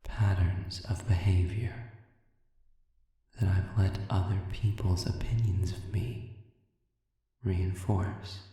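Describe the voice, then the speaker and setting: Man playing a very low, slow, manipulative demon. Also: steady tone, dark tone, recorded from a distance. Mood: measured, feigned contrition. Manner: slow, deliberate, breathy murmur with long pauses. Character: sadistic manipulative male demon, smooth-talking and falsely humble. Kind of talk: intimate audio roleplay monologue. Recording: cavernous reverb, voice set back.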